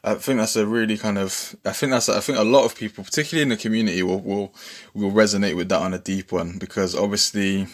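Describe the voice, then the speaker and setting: A man's voice: fairly low pitch, steady tone, fast talker. A man hosts a podcast. His delivery is casual and informal. He rambles a little, circling back over phrases as he works out his thought. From Bristol, England. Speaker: podcast host.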